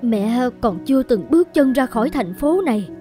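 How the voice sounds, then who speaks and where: A woman playing a gentle, anxious, devoted middle-aged mother in an animated fairy tale, with a coaxing, worried manner. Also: a little high, normal speed, faint music behind, lightly acted.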